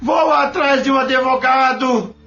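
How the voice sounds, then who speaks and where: A man's voice: very high-pitched, a little slow, sharp tone, full character voice. A man playing a proud, wronged farm labourer in an audio soap opera, emotional and defiant, with rustic colloquial speech. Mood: furious. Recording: dull, lo-fi audio.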